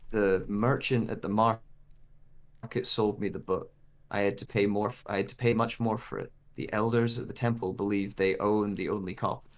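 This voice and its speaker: A man's voice: lowish voice, fairly even intonation, moderate pace, natural voice. An actor playing a bewildered searcher with lost memory, narrating in the first person as he reads a dramatic script. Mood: determined.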